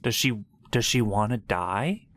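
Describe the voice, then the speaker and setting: A man streaming a video game playthrough, commenting casually in a slangy, excitable, reactive way into a close mic. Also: fairly low pitch, a little slow, slight background noise.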